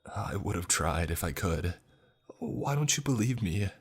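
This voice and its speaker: A man's voice: low-pitched, fairly even intonation, slow pace, fairly bright tone, breathy edge, heavily acted. A man voicing a frightened, grieving young man who pleads in a shaky voice, in a horror story. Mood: pleading.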